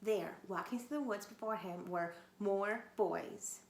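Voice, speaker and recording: A woman teacher reading a storybook aloud to children, animated and talking to them directly. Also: mid-range voice, a little slow. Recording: roomy echo, faint background noise.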